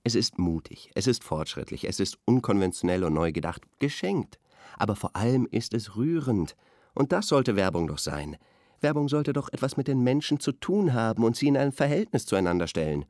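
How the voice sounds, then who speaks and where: An actor playing an earnest, lecturing intellectual art critic in a radio drama studio discussion. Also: male voice, mid-range voice, very animated, moderate pace, darkish tone, no echo, lightly acted. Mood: solemn, admiring.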